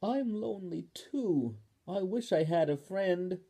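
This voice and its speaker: A storyteller voicing Ball, a lonely young male talking ball who grows excited at finding a friend, in a children's story. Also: medium pitch, takes it slowly, breathy edge, pitched above the performer's own voice, exaggerated voice. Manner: bright and wondering, exclaiming.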